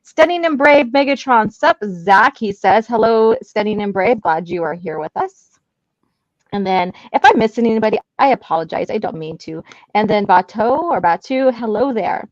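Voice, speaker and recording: A woman's voice: mid-range voice, animated, normal speed, no echo, highs cut off. A woman hosting a livestream, chatting informally and reading out viewer comments. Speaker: livestream panel host.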